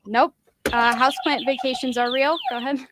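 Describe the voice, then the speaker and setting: A woman hosting a podcast quiz game, casually reading out each round and chatting in between, sometimes clearing her throat. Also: high voice, fairly even intonation, fairly quick, noisy background.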